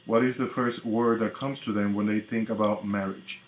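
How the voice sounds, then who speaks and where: A man from the Dominican Republic with a Dominican Spanish accent, a native Spanish speaker, hosting a live tarot reading in a slow, reflective, casual way. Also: fairly low pitch, fairly even intonation. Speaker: tarot reader. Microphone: computer mic on a live stream. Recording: echoey room with faint noise.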